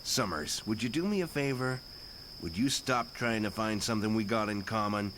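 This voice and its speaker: A man's voice: on the low side, moderate pace, background noise, natural voice. A man playing a surly, blunt tough mutant fighter in a motion comic, curt and slangy. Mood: irritated. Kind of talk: motion comic character acting.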